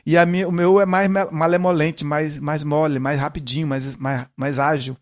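A middle-aged man with a Northeastern Brazilian accent softened by São Paulo, chatting as an interview guest and repeating words as he searches for the next one. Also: fairly high pitch, steady tone, normal speed. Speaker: guest, musician. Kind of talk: casual interview conversation.

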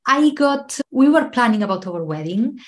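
A woman's voice: fairly high pitch, sing-song, moderate pace. A woman from Spain, a podcast guest telling a personal story, laughing often as she talks. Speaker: guest, travel coach. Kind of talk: podcast interview.

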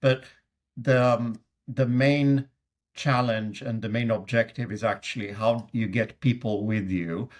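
A man's voice: mid-range voice, fairly even intonation, a little slow. A middle-aged man from Sweden with a Swedish accent, a guest answering interview questions in a hesitant way, with many fillers and restarts. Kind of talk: conversational interview.

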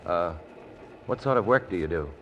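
Low-voiced, bashful, hesitant soldier. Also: male voice, animated, normal speed, a bit bright, muffled recording. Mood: shy, friendly. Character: shy, unsure soldier on leave, a sergeant. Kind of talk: 1940s radio acting. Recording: noisy old radio recording.

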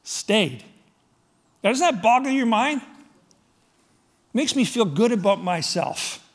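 A middle-aged man from Scotland preaching a sermon to his congregation, firing rhetorical questions, swinging from loud emphasis to soft, low asides. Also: lively intonation, slow pace. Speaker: pastor.

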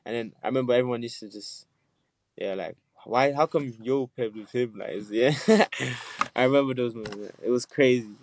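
A man's voice: mid-range voice, normal speed. Laid-back man, Nigerian-born guest. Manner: laid-back, laughs often, says "yeah" and "listen". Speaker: musician guest. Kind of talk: casual interview chat.

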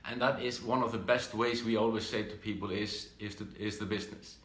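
A middle-aged man from Iceland with an Icelandic accent gives an informal live talk about his own past, speaking haltingly with repeated words and restarts. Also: fairly low pitch, fairly even intonation, fairly bright tone, echoey room. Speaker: speaker giving a business training talk.

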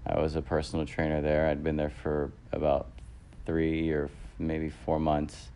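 A man's voice: rumbling low, completely flat delivery, moderate pace, warm tone, clear, resonant tone. A man in his thirties co-hosting a casual podcast with his partner, talking in a rambling way with many fillers and self-corrections. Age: 30s. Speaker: podcast co-host. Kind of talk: casual couple chat.

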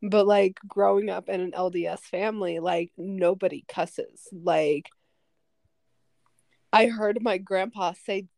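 A woman in her twenties, a podcast guest in casual chat, who speaks calmly and fills her speech with "like". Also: mid-range voice, takes it slowly, creaky, no room sound. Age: 20s.

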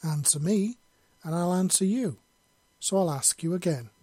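A man's voice: high-pitched, normal speed, mellow, slightly rough, dry recording, voice pushed up. A man voicing a mysterious invisible female spirit, questioning insistently and tauntingly. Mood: probing, insistent.

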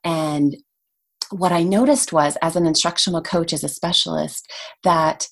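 A woman's voice: low-pitched, steady tone, a little slow. A woman whose first language is Hungarian, a language education trainer explaining her experience in an enthusiastic, articulate way as a podcast guest. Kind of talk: conversational podcast interview.

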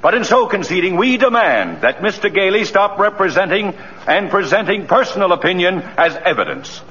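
Insistent state's attorney for vintage radio drama; pompous, slightly high, swooping delivery. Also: male voice, very animated, normal speed, sharp tone, exaggerated voice. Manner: formal, pompous. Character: insistent, exasperated prosecuting attorney. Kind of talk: 1940s radio acting. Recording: dull old radio recording, hall reverb.

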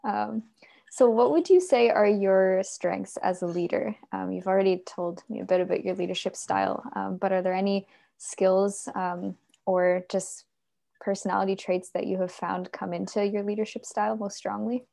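A woman interviewing a guest, asking measured questions with frequent pauses. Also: mid-range voice, steady tone, vocal fry, mellow. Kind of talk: conversational interview.

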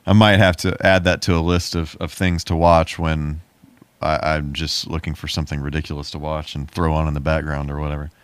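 Deep-voiced man in casual, informal chat. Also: level tone, brisk, smooth, no echo. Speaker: podcast co-host. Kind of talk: casual chat about movies.

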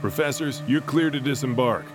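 A man's voice: mid-range voice, moderate pace, over music, natural voice. A man acting a stern, commanding military officer in an audio drama, giving clipped, authoritative orders.

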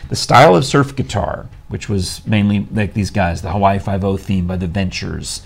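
A man's voice: low voice, moderate pace, gravelly, slightly rough. A man hosting a morning talk show, joking and laughing often as he rambles through asides. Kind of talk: casual studio banter.

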